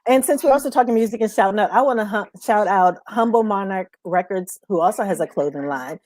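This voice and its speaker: A woman's voice: mid-range voice, fairly quick, darkish tone, no room sound. A woman co-hosting an unscripted music chat in an informal, chatty and affirming way. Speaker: co-host.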